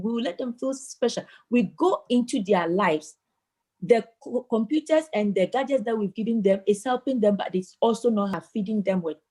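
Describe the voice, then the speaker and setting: A woman's voice: mid-range voice, mellow. A woman from Ghana speaking earnestly in a community discussion over a video call, often repeating words as she starts her sentences. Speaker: participant moderating a community discussion. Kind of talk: community meeting talk.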